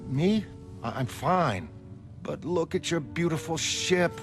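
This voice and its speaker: Male actor as wry, direct adult woman for a motion comic; lively intonation, inquisitive and teasing. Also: a little high, slow, faint music behind, pitched above the performer's own voice. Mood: apologetic. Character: adult woman, frank, curious, wry former costumed heroine. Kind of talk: motion comic character acting.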